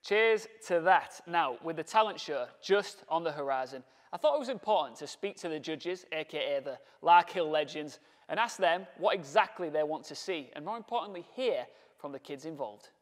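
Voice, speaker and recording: A man's voice: on the high side, lively intonation, moderate pace, dry recording. A man presenting a local TV documentary, interviewing guests with upbeat, leading questions.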